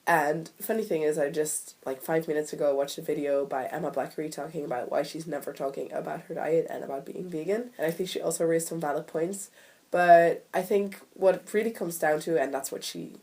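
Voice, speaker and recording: A young woman with a deep, low-pitched voice talks casually to her camera, still a bit nasal as she gets over a cold. She rambles and hedges with frequent ums and restarts. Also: steady tone, a little fast, darkish tone. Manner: rambling, self-deprecating, many ums and restarts. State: recovering from a cold, slightly nasal. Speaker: vlogger.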